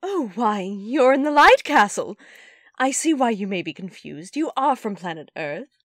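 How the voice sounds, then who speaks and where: A woman's voice: on the high side, sing-song, unhurried, no echo, clean studio sound, pitched below the performer's own voice. A woman voicing a gracious, welcoming magical dog queen for an animation audition. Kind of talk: animated character audition lines.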